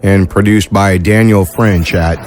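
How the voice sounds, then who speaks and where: A man's voice: low voice, steady tone, a little slow, noisy background. A man announcing audio drama credits with playful, folksy showmanship.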